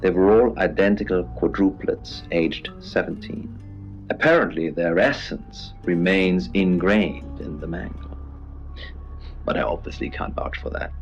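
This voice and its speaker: Eccentric male radio curio dealer, gravelly, animated swoops in pitch, deadpan, macabre, hesitant. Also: measured pace, lo-fi sound, exaggerated voice. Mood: deadpan, eerie. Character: Poe, eccentric male radio host and curiosity shop keeper. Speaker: radio co-host. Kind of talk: late-night radio show banter. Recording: band-limited radio sound over music.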